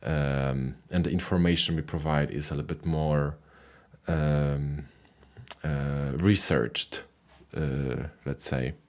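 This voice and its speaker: A man hosting a live call-in language show, casual and halting, with many fillers and restarts. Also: very deep voice, steady tone, darkish tone.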